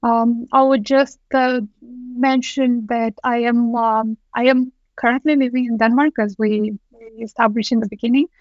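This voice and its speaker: A woman's voice: fairly high pitch, steady tone, moderate pace, dry recording. A woman from Romania, a guest speaking thoughtfully on a video call, often restarting her sentences. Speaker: guest engineering director. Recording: dull, dry lo-fi call audio.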